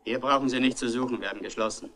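An actor plays a male barkeeper at closing time in a 1960s German radio mystery. His voice is medium in pitch with a crisp, forceful edge. He is laconic, wry and none too helpful. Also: steady tone, racing, lightly acted. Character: dry, unhelpful, weary male barkeeper. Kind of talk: 1960s German radio crime drama acting.

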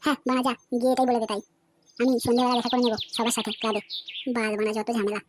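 Give me a woman playing a brash, foul-mouthed young cartoon man, talking very fast. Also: a little high, flat delivery, background noise, natural voice. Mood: irritated. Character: cheeky crude young village man. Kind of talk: cartoon voice acting. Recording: loud background music.

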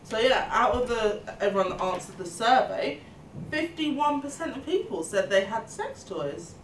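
A woman's voice: fairly high pitch, sing-song, moderate pace. Echoey young woman hosting. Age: 20s. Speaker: podcast host, student union welfare officer. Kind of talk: semi-scripted panel podcast hosting. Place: meeting room indoors. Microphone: table microphone, a little distant. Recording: echoey room, distant mic, background noise.

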